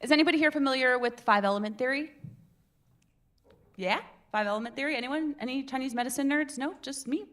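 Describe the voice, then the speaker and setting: A young woman leading a casual public speaking workshop, chatty and animated, breaking off mid-sentence and asking the group questions. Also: high-pitched, fast talker. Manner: chatty, animated, self-interrupting, asks the room questions. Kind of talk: informal workshop teaching. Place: meeting room with a live group.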